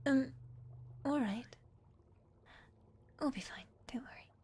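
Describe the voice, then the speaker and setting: A young woman playing a shy, obedient witch's apprentice in a fantasy ASMR roleplay, meek, hesitant and soft-spoken. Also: high voice, slow, slightly rough, heavily acted. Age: young adult. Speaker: voice actor in audio roleplay.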